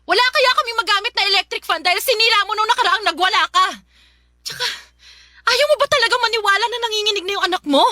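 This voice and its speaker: A woman's voice: very high voice, fast talker, pushed and bright, no room sound, studio quality. An actress playing a long-suffering, anguished mother and wife who pleads and protests in a radio drama. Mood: distressed, indignant. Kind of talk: Tagalog radio drama acting.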